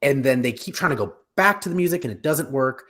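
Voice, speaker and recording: A man's voice: mid-range voice, lively intonation, fast talker, fairly bright tone. Middle-aged man hosting a casual chat. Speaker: host of an online discussion show. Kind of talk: casual chat about radio drama and film. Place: home room on a video call. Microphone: video call.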